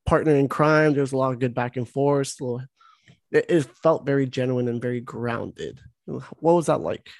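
A man's voice: mid-range voice, moderate pace, creaky, no echo, studio quality. A man hosting an interview, gushing about a film in rambling, casual speech full of "like". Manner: gushing, rambling, heavy use of "like". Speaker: interview host.

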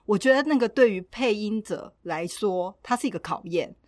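A woman's voice: mid-range voice, expressive, moderate pace, dry recording, clean studio sound. A woman with a Taiwanese accent co-hosting a podcast, talking casually and reflectively in everyday colloquial speech. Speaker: podcast co-host.

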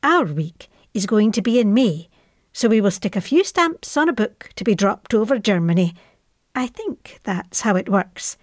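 A woman's voice: mid-range voice, very animated, moderate pace, no echo, studio quality, close to an everyday voice. An actress playing an affectionate middle-aged mother in wartime, reading out her chatty, matter-of-fact letter to her airman son. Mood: chatty, fond.

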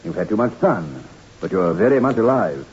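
An actor playing a calm, firm doctor, soothing yet brisk, in an old radio drama. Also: male voice, deep voice. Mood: reassuring. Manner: soothing but brisk, commanding. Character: calm, firm, reassuring male island doctor. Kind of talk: 1960s radio drama acting. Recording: muffled, noisy old radio recording.

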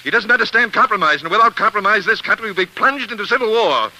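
Forceful, sharp-voiced elderly senator. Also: male voice, sits high, lively intonation, quick pace, exaggerated voice, rough recording. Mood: forceful, adamant. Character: stern, principled elderly senator and protective father. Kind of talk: 1940s radio acting. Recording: hissy 1940s radio recording.

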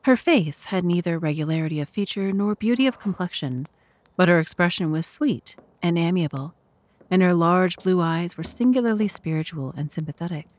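A woman giving measured, formal narration of a scripted literary story. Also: on the low side, darkish tone, no room sound.

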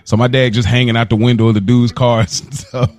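A young man co-hosting a podcast in casual chat, telling stories in an animated way and laughing often. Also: mid-range voice, fairly quick. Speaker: podcast co-host.

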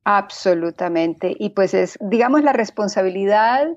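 A woman's voice: mid-range voice, expressive, normal speed. A woman from Colombia and Costa Rica, a podcast guest telling her story conversationally over a remote call. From born in Colombia, grew up there and in Costa Rica. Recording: dull lo-fi remote connection.

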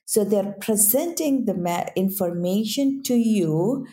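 A woman's voice: medium pitch, expressive, slow pace, mellow. A woman speaking English with an accent, a guest in a casual interview who keeps checking in with "right?". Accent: non-native English accent. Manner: earnest, repeats "right?" to check in.

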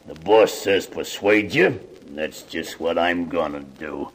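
A brutal gangster's henchman with a very low, creaky voice. He drawls slowly through tough-guy slang, relishing the menace. It is 1950s radio crime drama with clear background noise and clicks. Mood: menacing relish. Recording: noisy vintage radio recording with clicks.

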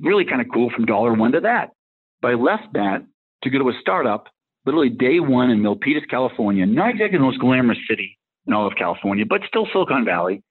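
A man in his fifties with a faint Massachusetts accent talks quickly through his career as a tech executive, rambling from one anecdote to the next. His voice is husky, and it comes through a narrow, phone-like line. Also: medium pitch. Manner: rambling, acts out dialogue in anecdotes. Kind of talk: casual career storytelling.

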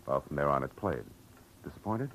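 A man's voice: a bass voice, lively intonation, brisk, fairly bright tone, a little hiss or hum, low-quality recording. An American actor playing a weary, wry hard-boiled male private detective, terse and slangy in 1940s radio style. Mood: explaining, wry. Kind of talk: 1940s radio acting with first-person narration. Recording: old radio drama recording with music.

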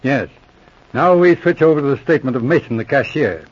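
Actor as self-assured Mountie sergeant, muffled old radio. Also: male voice, mid-range voice, expressive, a little fast, close to an everyday voice. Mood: measured, probing. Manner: probing, commanding, dryly teasing. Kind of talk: 1940s radio drama acting. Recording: muffled vintage radio recording.

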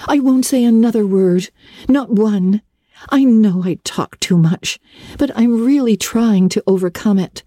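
A woman's voice: medium pitch, a little slow, dark tone, no room sound, studio quality, pitched above the performer's own voice, natural voice. A woman voicing an eager, talkative, earnest orphan schoolgirl in a gushing, dramatic storybook reading. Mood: pleading, contrite. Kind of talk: dramatic audiobook reading of a classic novel.

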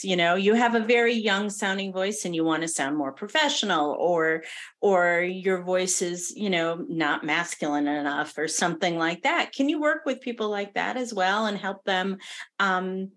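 A woman hosting a casual interview, curious and full of questions. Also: mid-range voice. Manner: curious, asks many questions. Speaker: podcast host.

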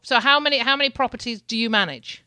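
A woman's voice: fairly high pitch, fast talker, pushed and bright, smooth, no room sound. A woman hosting a casual interview, talking in a chatty way with frequent repeated words and light laughs. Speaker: podcast host. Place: home in Canada.

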